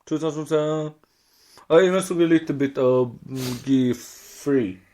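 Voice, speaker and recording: A fairly high-pitched man chats on a casual fan podcast. He is an English speaker who talks in short, broken phrases as co-host. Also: unhurried, warm tone. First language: not English.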